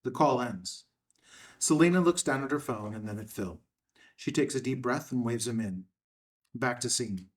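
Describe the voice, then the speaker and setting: Male narrator for screenplay action lines, measured and terse, medium pitch, recorded at some distance. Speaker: narrator reading stage directions. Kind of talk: scripted narration at a table read.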